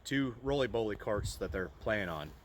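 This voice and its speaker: A man's voice: lively intonation, moderate pace. A man in his early forties with a Midwestern American accent, chatting casually and reminiscing. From small-town Iowa, United States. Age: early 40s. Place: outdoors at an old rural bridge. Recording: noisy outdoor recording with ambient rumble.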